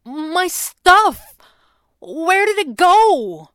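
A woman voicing an upset young man in a story, sputtering and protesting loudly at his parents. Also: a little high, takes it slowly, dry recording, clean studio sound, pitched above the performer's own voice. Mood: panicked. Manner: sputtering, loud, exclaiming.

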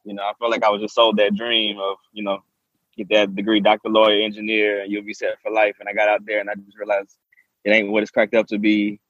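A man's voice: fairly low pitch, completely flat delivery, fast talker, a bit bright, no room sound, muffled recording. A man, a podcast guest telling his story eagerly. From Southeast Texas. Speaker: guest, real estate investor. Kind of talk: casual interview talk.

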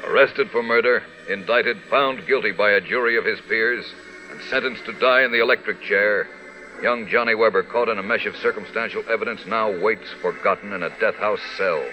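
A man's voice: on the low side, moderate pace, sharp tone, rough recording. A male narrator declaiming solemnly and dramatically in the style of a 1940s radio serial. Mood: grave, dramatic. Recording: old radio recording with music behind.

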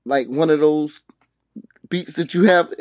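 A man hosts a laid-out, casual hip-hop interview in a fairly high voice. He talks in street slang and often repeats words and phrases. The sound is dry and close, with no room echo. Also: measured pace. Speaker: podcast host interviewer.

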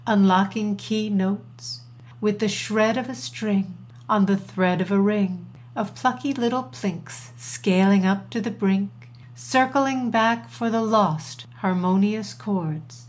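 A woman poet introducing and reciting her own work with deliberate, measured phrasing and pauses. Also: mid-range voice. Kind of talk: poetry reading with scripted introductions.

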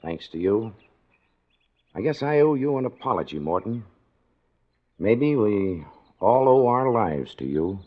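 Muffled vintage radio. blunt American camp boss, gruff and folksy, very animated pitch, 1940s adventure drama. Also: male voice, a little slow, long pauses, a little hiss or hum. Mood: grudging respect. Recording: dull 1940s radio recording.

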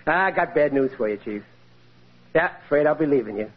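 A man's voice: on the high side, lively intonation, a little fast, close to an everyday voice. An American actor playing a bumbling, sly working-class husband and father in an old radio sitcom, stammering and exaggerating his lines. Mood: smug. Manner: hesitant, stammering, exaggerated delivery. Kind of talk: 1940s-50s American radio sitcom acting. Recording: noisy, muffled old radio broadcast.

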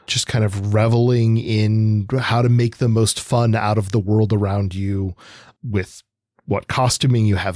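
A man co-hosting a literary podcast, chatting in a halting way as he searches for words and hedges. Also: lowish voice, a little mellow, dry recording, studio quality. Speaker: podcast co-host. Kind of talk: literary discussion, conversational.

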